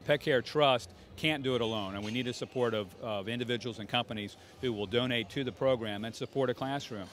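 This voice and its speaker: A man in his forties speaking for a charity program in an interview, halting and full of fillers, into a handheld mic. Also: medium pitch, a little hiss or hum. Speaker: charity representative. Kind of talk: interview answer.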